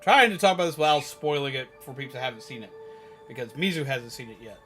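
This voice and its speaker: A man livestreaming, chatting casually and rambling to viewers in an animated, emphatic, opinionated way. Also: mid-range voice, fast talker, soft background music. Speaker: live streamer. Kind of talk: casual rambling chat with viewers while gaming.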